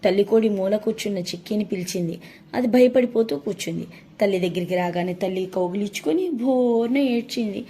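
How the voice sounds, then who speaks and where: A woman's voice: mid-range voice, a little fast, dark tone. A woman narrating a children's story as a storyteller. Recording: faint background noise.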